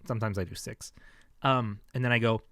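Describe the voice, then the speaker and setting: A man's voice: on the low side, fairly even intonation, fairly quick. A man with a tired, scratchy, fatigued voice, talking casually into a close microphone in a rambling, hesitant way. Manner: rambling, hesitant, many restarts and fillers. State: vocal fatigue, scratchy throat. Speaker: vlogger sharing health experience. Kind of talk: casual personal vlog.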